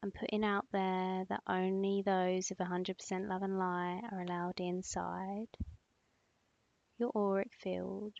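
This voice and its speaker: A woman leading a guided meditation in a soothing, instructing manner, with long pauses and breathy phrase endings. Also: medium pitch, flat delivery, darkish tone, no echo. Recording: slightly muffled, dull close dry recording.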